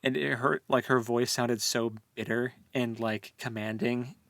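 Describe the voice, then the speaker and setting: A man's voice: mid-range voice, fairly even intonation, unhurried, vocal fry, a bit bright, dry recording. A man co-hosting a podcast, talking casually and informally about a book with opinionated commentary. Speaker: podcast co-host. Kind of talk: casual book discussion chat.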